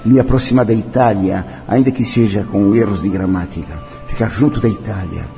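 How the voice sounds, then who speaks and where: Older man, low voice, warm dark tone, solemn declamatory interview talk. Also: fairly quick, faint music behind. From Brazil. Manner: solemn, declamatory, mixing in French and Italian phrases. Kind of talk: interview, personal reminiscence.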